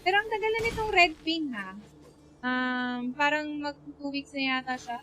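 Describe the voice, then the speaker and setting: Woman, Filipino accent, high voice, chatty game streamer, casual commentary, music behind. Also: normal speed, sharp tone, rough recording. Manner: chatty, switches between Tagalog and English. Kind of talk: casual live gameplay commentary, reading dialogue aloud. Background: video game music.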